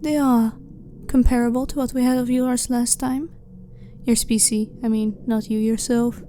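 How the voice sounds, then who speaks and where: A woman playing a blunt, clinical but harmless alien scientist in an ASMR sci-fi roleplay, speaking in a formal, measured, matter-of-fact way. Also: fairly high pitch, level tone, warm tone, lightly acted. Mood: calm, polite. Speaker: ASMR voice performer. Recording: clearly noisy ambient background.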